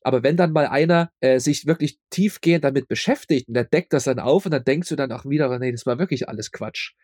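A man's voice: medium pitch, fast, darkish tone, no room sound, studio quality. A man chatting as an interview guest, explaining emphatically and often repeating himself. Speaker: audio drama maker, interview guest. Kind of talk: casual interview chat.

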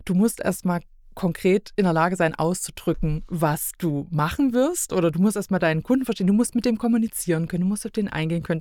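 A woman's voice: medium pitch, a little mellow, no room sound, clean studio sound. A middle-aged woman from East Germany chatting casually as a podcast guest, talking emphatically and rambling as she corrects herself. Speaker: podcast guest, self-employed illustrator.

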